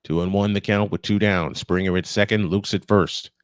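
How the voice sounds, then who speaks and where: A man's voice: low-pitched, steady tone, normal speed, clear, resonant tone, dry recording, clean studio sound. A man calling live baseball play-by-play as a sportscaster. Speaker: play-by-play commentator on a live stream.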